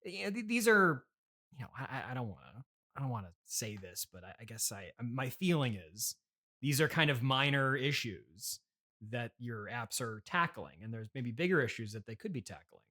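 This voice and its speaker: A man hosting a comedy interview podcast, speaking in a polite, halting, puzzled deadpan with restarted sentences. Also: mid-range voice.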